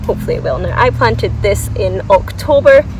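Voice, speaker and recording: A woman with a mid-pitched voice chats off the cuff as she shows viewers around a garden. She is enthusiastic and informal, often thinking aloud and poking fun at herself. The sound is outdoors, a little away from the mic, with some background noise. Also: expressive, fairly quick, a little mellow, far from the mic, dull audio. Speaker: gardening vlogger giving a garden tour. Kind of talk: casual, unscripted chat to viewers.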